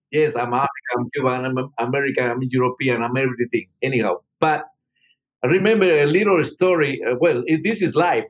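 A man's voice: medium pitch, poor audio. An older man from Peru, a musician telling stories in an interview, rambling with many restarts. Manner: rambling, frequent you know and restarts. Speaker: musician guest. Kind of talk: storytelling interview answers.

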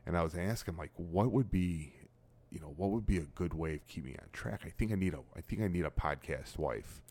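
A middle-aged man hosting a casual podcast from his dining room, telling stories animatedly with loud bursts. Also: sits low, brisk, slightly rough. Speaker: podcast host.

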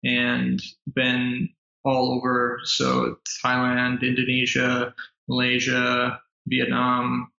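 An American man talks through an interview in a relaxed but halting way, stacking fillers and restarts. His voice is gravelly and bright. It comes over a roomy, lo-fi remote connection. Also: mid-range voice. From United States. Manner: hesitant, many fillers and restarts. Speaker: guest entrepreneur. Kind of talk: casual interview chat. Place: apartment in Manila.

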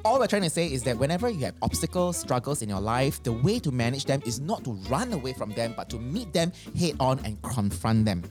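A woman co-hosts a podcast in casual chat. Her voice is low and moves a lot in pitch, with clear music behind her. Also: big pitch swings, brisk, few pauses. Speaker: podcast co-host.

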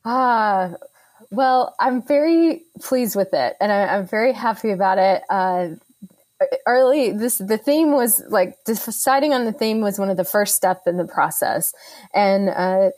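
A woman's voice: medium pitch, a little mellow, no room sound. Upbeat earnest woman guest. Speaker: conference organizer, guest. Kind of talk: conversational interview answers.

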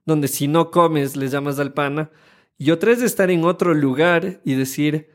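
A man in his thirties from Ecuador with an Ecuadorian accent, co-hosting a casual podcast in a studio and acting out the people he quotes. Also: fairly high pitch. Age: 30s. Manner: animated, acts out quoted people, slows to stress points. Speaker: podcast co-host. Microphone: studio microphone.

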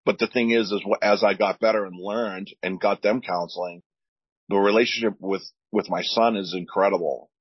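A veteran man with a deep, husky voice talks earnestly on a podcast and often repeats himself while he searches for words. The sound is dry and a little dull. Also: fairly even intonation, moderate pace, dry recording. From United States. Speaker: veteran guest. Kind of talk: candid podcast talk.

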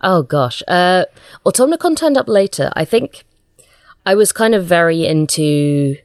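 A woman's voice: on the low side, big pitch swings, measured pace, a little mellow, no room sound. A woman chatting casually as an interview guest, a writer with a hesitant, self-deprecating manner.

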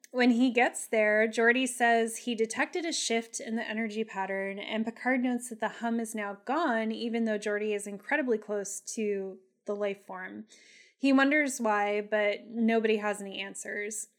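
A woman with a fairly high voice talks through a TV episode as a podcast co-host. She is opinionated and emphatic, and swears freely in a casual, chatty way. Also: normal speed. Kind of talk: casual TV episode recap and commentary chat.

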